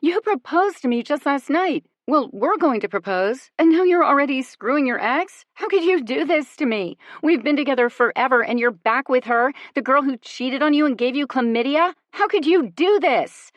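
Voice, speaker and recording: Reader doing a breathless, shrill young woman, impulsive and hot-tempered. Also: lively intonation, normal speed, hardly any pauses, no echo, dull audio. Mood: furious.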